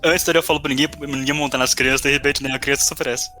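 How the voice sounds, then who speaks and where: A man's voice: medium pitch, steady tone, fast talker, bright, forceful tone, faint music behind. A Brazilian man in casual podcast chat, cutting in with dry, teasing remarks. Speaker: podcast member and player.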